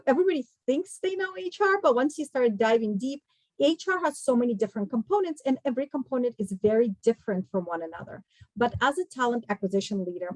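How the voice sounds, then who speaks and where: A woman's voice: fairly high pitch, darkish tone, dry recording, low-quality recording. Woman guest speaker, dry close sound. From Kazakhstan. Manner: conversational, explanatory, direct address to listeners. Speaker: guest speaker, recruiting executive. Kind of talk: career talk to students.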